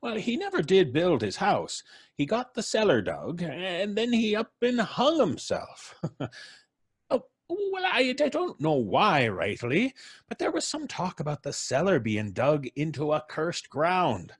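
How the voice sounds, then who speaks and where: A man's voice: sits high, wildly swooping pitch, measured pace, slightly rough, voice pushed up, heavily acted. A man voicing a rambling, superstitious old country man in old time radio drama style, folksy and garrulous with muttered hesitations. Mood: spooked, gossipy. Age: older.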